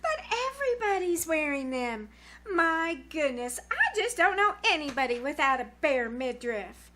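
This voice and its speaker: A woman's voice: high-pitched, big pitch swings, a little slow, pushed and bright, a little hiss or hum, heavily acted. A woman playing a bossy, gushing teenage girl who talks in dramatic slang, in 1940s radio comedy style. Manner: slangy, dramatic, know-it-all. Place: small-town theater stage, live performance.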